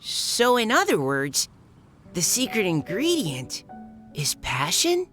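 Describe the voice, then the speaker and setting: A woman's voice: mid-range voice, wildly swooping pitch, slow, fairly bright tone, faint music behind, heavily acted. An actress playing an eager young woman adventurer who cooks for her party, in a video game cutscene.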